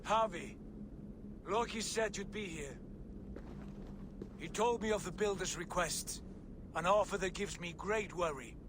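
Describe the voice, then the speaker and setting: Tyr, resolute loyal war god. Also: male voice, high-pitched, unhurried, pauses often, noisy background. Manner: earnest, resolute. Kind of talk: video game cutscene acting.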